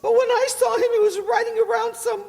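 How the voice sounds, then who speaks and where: Very high, creaky teenage girl played by a woman. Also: little pitch movement, a little fast, darkish tone. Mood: tearful, sulky. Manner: whiny, overwrought. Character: melodramatic, heartbroken teenage daughter. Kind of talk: radio comedy acting. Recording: echoey hall, long reverb.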